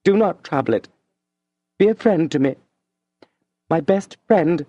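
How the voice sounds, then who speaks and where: A gentle, tearful lady with a soft, pleading delivery, as a male narrator lifts his voice well above his own. The sound is dry and close, with no room around it. Every line carries emotion held just below the surface. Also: a little high, lively intonation, measured pace, no echo, highs cut off, pitched above the performer's own voice. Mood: pleading calm. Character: tender, tearful woman, gentle and anxious. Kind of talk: audiobook reading of a classic novel.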